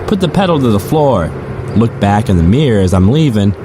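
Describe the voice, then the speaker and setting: A man's voice: lowish voice, sing-song, brisk. A man playing a weary, musing rideshare driver who narrates eerie stories in a conversational, first-person audio drama style. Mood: tense recounting. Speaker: narrator and lead character. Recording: clearly noisy, car and street ambience.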